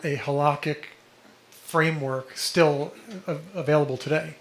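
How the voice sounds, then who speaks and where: Slightly hoarse man teaching in an echoey room. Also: fairly high pitch, fairly even intonation, measured pace. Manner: explanatory, quotes texts, checks in with "right?". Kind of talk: teaching a study group. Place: indoor room with a vent. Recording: echoey room with background noise.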